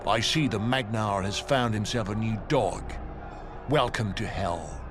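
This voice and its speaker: An actor playing a cynical, resigned prisoner in a video game, wry and matter-of-fact. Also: male voice, fairly low pitch, unhurried, over music, lightly acted. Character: cynical, resigned seasoned male prisoner in a cage. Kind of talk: dramatic video game acting.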